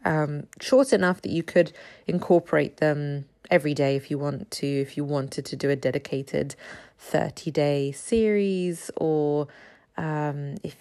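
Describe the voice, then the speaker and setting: A low-pitched woman in her thirties chats through her podcast, her just-woken voice raspy with gravelly fry. She talks in a loose, friendly ramble with plenty of ums and little laughs. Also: a little mellow. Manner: chatty, laughs often, many ums. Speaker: podcast host. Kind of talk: casual solo podcast chat.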